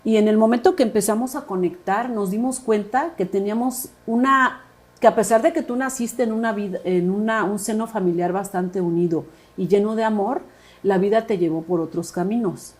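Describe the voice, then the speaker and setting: A woman's voice: mid-range voice, normal speed, a little mellow. A woman hosting a podcast interview. She keeps the guest talking with affirming murmurs and filler words. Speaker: podcast host.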